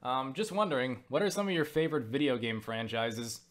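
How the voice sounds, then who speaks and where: A young man answering viewer questions in a casual vlog, rambling with lots of fillers and restarts, swearing offhand and getting animated when excited. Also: mid-range voice, fairly quick.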